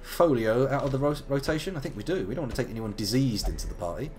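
A man in his thirties, gravelly from a slight cold, chatting playfully through a live game stream. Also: medium pitch, lively intonation, fast talker, darkish tone, soft background music. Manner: chatty, playful, wandering asides. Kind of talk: casual live game commentary.

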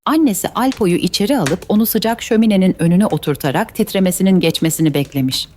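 A woman's voice: mid-range voice, fast talker, a little mellow. A woman narrating a scripted children's story as the storyteller. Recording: faint background noise.

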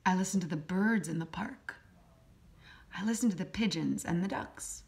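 A woman reading a children's picture book aloud as a teacher, in simple, deliberate short phrases. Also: lowish voice, gravelly, darkish tone. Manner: acts out sound effects. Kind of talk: children's storybook read-aloud.

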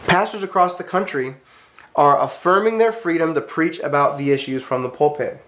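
A man's voice: fairly high pitch, moderate pace, a bit bright, rough recording. An American man teaching a class, giving a storytelling lecture in an earnest, emphatic manner with short declarative sentences.

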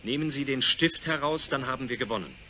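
A man's voice: medium pitch, fairly quick, pushed and bright, soft background music. An actor playing a composed, exacting male ground controller giving matter-of-fact instructions in a radio play.

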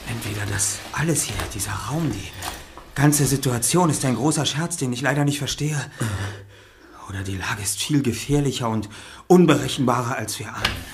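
A clever young detective voiced by a man reasons aloud in exact, formal sentences. The voice sits at a middle pitch, and noticeable hiss runs under the recording. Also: expressive, moderate pace, natural voice. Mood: calm, reasoning. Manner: precise, analytical, formal wording. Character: clever, composed teenage boy detective.

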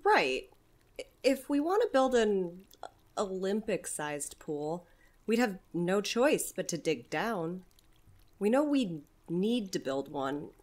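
A woman's voice: mid-range voice, sing-song, slow pace, darkish tone. A woman playing an evasive, chatty community center manager in a naturalistic audio drama, hesitant yet earnestly explanatory. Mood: hesitant, sheepish.

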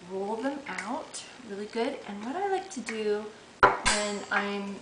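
A middle-aged woman talks her viewers through baking in a home kitchen, chatty and upbeat as she works with her hands. Her voice sits in the middle range and bounces off hard kitchen surfaces. Dishes and pans clatter clearly behind her. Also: mid-range voice, roomy sound, background noise. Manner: chatty, upbeat, talks while working dough. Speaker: cooking show host. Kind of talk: casual cooking demonstration.

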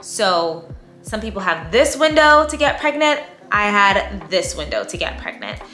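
A woman, chatting in a bubbly, talkative way as she vlogs to camera in a bright room at home. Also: mid-range voice, sing-song, measured pace, reverberant, faint music behind. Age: 30s. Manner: bubbly, chatty, talks with her hands. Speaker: lifestyle vlogger. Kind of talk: casual talk to camera.

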